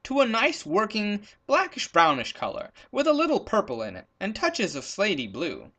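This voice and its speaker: A man voicing a practical, bossy hunter in a children's storybook, brisk and instructive. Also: high-pitched, huge pitch swings, sharp tone, voice pushed up, heavily acted. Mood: decided. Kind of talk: storybook character voice in a read-aloud.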